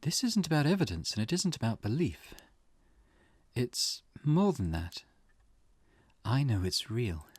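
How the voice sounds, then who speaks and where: A man voicing a formal, intense, vengeful grieving mother from a visual novel in a slow, dramatic delivery. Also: mid-range voice, darkish tone, dry recording, clean studio sound, pitched above the performer's own voice.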